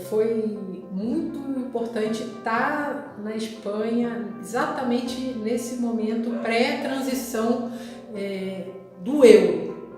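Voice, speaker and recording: A woman with a Rio de Janeiro Brazilian accent, telling personal travel memories casually and reflectively, often pausing mid-sentence. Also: a little high, darkish tone. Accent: Rio de Janeiro Brazilian Portuguese. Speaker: vlogger recounting travel memories. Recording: very echoey, reverberant room with music.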